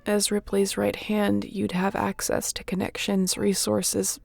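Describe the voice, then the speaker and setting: A woman playing a battle-weary spaceship captain in an intimate audio roleplay, speaking tenderly and guardedly, with a hushed, halting, earnest delivery. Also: medium pitch, level tone, vocal fry, slightly rough, full character voice. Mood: disbelieving, moved.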